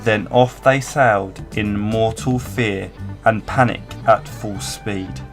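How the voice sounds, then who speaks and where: A man giving an expressive scripted reading of a rhyming children's story. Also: on the low side, slow pace. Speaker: storybook reader. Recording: faint guitar music behind. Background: soft plucked guitar music.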